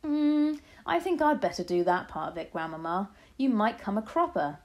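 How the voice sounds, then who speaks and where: A woman's voice: medium pitch, normal speed, lightly acted. A woman voicing an eager, clever and slightly anxious young boy turned mouse in a storybook reading. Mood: concerned, curious. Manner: excitable, earnest exclamations. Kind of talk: storybook read-aloud.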